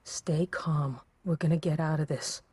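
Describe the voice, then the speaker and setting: A woman's voice: sits low, steady tone, a little slow, dark tone, husky, dry recording, heavily acted. An actress voicing a tough, sarcastic young gang woman in a video game, defiant and urgent. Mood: urgent pleading. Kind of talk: video game cutscene acting. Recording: heavy noise over game scene.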